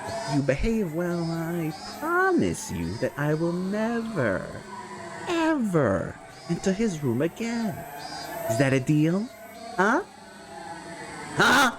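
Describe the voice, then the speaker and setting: A man's voice: high-pitched, huge pitch swings, takes it slowly, noisy background, pitched above the performer's own voice, full character voice. A man voicing a volatile, abusive middle-aged man who swings between snarling and a falsely sweet tone. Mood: menacing.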